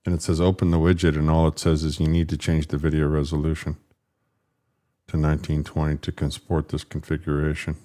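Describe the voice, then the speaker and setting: A man with a deep, rumbling voice hosting a casual livestream, rambling and frustrated as he fixes his stream settings. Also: monotone, brisk, dark tone. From Canada. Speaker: political livestream host.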